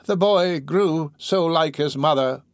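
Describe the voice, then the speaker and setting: A man's voice: on the high side, slow, no room sound, pitched above the performer's own voice. A man voicing a dying old pauper woman who rambles in guilt, groaning and agitated, in a storybook reading. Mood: rambling, mournful.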